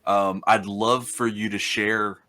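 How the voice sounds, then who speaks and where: Host guy on a video call, lowish voice, stumbles and repeats words a lot. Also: little pitch movement, pushed and bright. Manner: halting, many repeated words, affirming. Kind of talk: casual interview talk.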